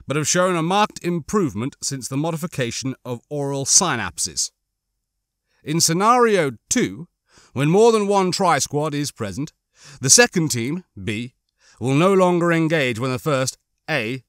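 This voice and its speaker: A man's voice: medium pitch, fairly bright tone, dry recording, clean studio sound. A man narrating a horror novel as an audiobook, reading a dramatic scripted story.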